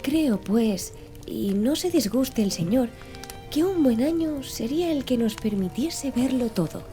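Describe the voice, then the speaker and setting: A woman's voice: a little high, lively intonation, unhurried, mellow, soft background music. A woman voicing a polite, deferential young manservant in formal, courteous audiobook dialogue.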